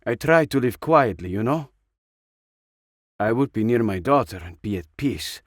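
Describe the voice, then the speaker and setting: A man's voice: mid-range voice, animated, measured pace, no echo, clean studio sound. A man voicing a weary, stern, principled middle-aged woman, an earnest veteran soldier, in an audiobook story. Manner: earnest, disapproving.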